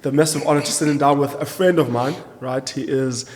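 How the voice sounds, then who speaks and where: A man's voice: mid-range voice, steady tone, fairly quick, darkish tone. A man hosting a casual interview, chatting informally with lots of "you know" along the way. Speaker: podcast host and interviewer. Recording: reverberant hall-like room.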